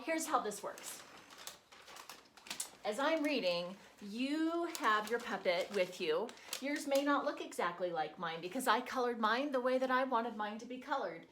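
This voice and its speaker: A woman's voice: on the high side, fairly bright tone, slight background noise. Patient woman teacher explaining an activity. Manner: friendly, patient, explains step by step. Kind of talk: instructions for an at-home activity.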